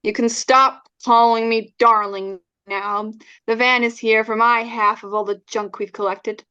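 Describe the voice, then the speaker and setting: A scornful, sarcastic radio-drama wife, acted by a woman, needling and bitter. Her voice is smooth and a little high, on a slightly dull recording. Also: a little slow. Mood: cold sarcasm. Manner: cutting, scornful, deadpan sarcasm. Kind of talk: old-time radio drama acting.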